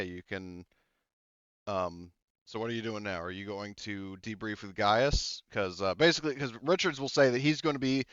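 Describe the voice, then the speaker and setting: Smooth-voiced man running a game. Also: fairly low pitch, fairly bright tone, no echo, highs cut off. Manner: loose, casual, swears freely. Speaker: game master. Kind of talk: game master narration and rules talk.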